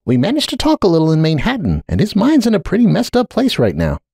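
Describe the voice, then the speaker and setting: A man's voice: sits high, wildly swooping pitch, quick pace, gravelly, mellow, husky, dry recording, clean studio sound, voice pushed up, exaggerated voice. A man voicing a weary, anxious young male pony wizard who talks hesitantly and placatingly in a story reading. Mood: urgent, placating.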